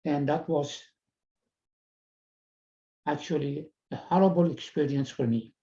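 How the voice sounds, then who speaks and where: An older Iranian man speaks English with a Persian accent, pausing often and repeating words as he goes. His voice is mellow and unhurried, very slow overall. The sound comes through a slightly dull video call. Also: a little high, vocal fry. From Iran. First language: Farsi. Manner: slow, halting and earnest, with frequent pauses and repeated words. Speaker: TV presenter. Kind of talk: personal address to viewers.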